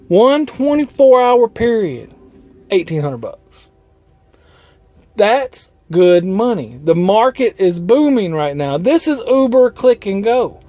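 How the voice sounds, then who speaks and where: A man's voice: high-pitched, dark tone, no room sound. A man talking casually as a trucker vlogger, explaining things in a folksy, colloquial way.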